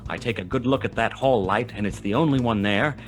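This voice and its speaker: An actor playing a world-weary, wry, tough private detective who narrates a 1920s hard-boiled radio play in a clipped, dramatic manner. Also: male voice, mid-range voice, brisk, faint music behind, natural voice. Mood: tense, gritty.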